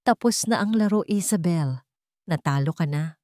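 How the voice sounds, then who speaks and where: A woman's voice: fairly low pitch, lively intonation, moderate pace, mellow, no room sound, studio quality, close to an everyday voice. A woman voicing a wronged wife in an audio drama story, firm, controlled and vengeful. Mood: triumphant.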